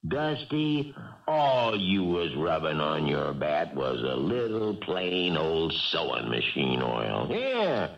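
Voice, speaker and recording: Deep, folksy old baseball manager. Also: male voice, big pitch swings, slow pace, creaky, full character voice. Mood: fatherly. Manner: folksy, reassuring, homespun. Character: fatherly, wily older baseball team manager. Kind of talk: 1970s radio drama acting. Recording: muffled, hissy old radio broadcast.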